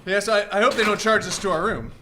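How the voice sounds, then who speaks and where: A man acts a meek, grateful husband in a live radio play. The voice is high, mild and a little hesitant. There is faint noise on the stage recording. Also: fast talker, lightly acted. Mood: puzzled, mild. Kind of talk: live radio drama acting.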